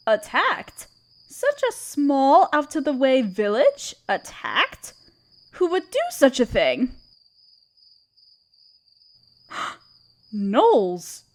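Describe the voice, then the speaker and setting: A woman playing a regal, tender spider queen in an audio roleplay, speaking in a gentle, soothing and slightly formal way. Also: high-pitched, exaggerated voice. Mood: shocked concern. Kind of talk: scripted roleplay audio drama. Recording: crickets chirping behind the voice. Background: crickets and night insects.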